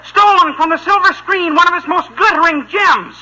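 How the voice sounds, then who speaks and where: An actor playing a pompous, declamatory teenage boy with a raspy voice in a 1950s radio sitcom. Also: very high voice, normal speed, heavily acted, low-quality recording. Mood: pompous indignation. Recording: bright old radio recording.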